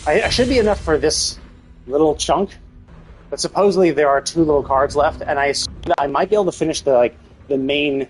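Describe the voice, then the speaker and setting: A man's voice: fairly high pitch, a little fast, slight background noise. A man streaming a game, chatting casually and rambling as he thinks aloud. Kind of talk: casual live gameplay commentary. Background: game music in background.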